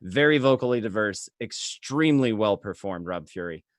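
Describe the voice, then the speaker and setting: Bright-voiced male show host, dry sound. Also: fairly low pitch, animated, moderate pace, dry recording. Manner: effusive, complimentary. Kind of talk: casual remote cast interview. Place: remote video call.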